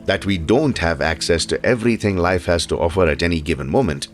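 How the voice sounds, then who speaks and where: An actor playing a caring family doctor in an audio drama, measured, gentle and a little formal. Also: male voice, low-pitched, faint music behind, lightly acted. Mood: gentle, attentive. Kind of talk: naturalistic audio drama acting.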